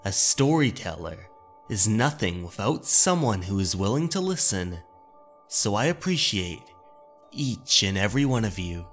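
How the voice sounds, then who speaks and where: Slow-paced male horror story narrator. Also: lowish voice, sing-song, a bit bright, faint music behind. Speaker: narrator and channel host. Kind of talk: scripted horror story narration and channel sign-off.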